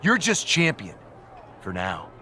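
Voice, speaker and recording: An actor playing a snide, sarcastic backstage antagonist in a scripted wrestling game cutscene. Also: male voice, medium pitch, huge pitch swings, takes it slowly, sharp tone, a little hiss or hum, exaggerated voice. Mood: scornful.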